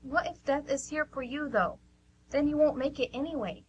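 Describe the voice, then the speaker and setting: A woman's voice: high-pitched, normal speed, muffled recording, slight background noise, close to an everyday voice. A woman playing a dry, teasing, pragmatic friend in an amateur radio drama. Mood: anxious, practical.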